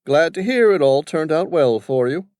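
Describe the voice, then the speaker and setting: An older aristocratic gentleman with a crisp upper-class British accent, as a male narrator puts him on. He speaks with cool, clipped courtesy and a lifted, lively pitch. The sound is dry and close. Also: normal speed, dry recording, close to an everyday voice. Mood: courteous. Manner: clipped, polite, superior.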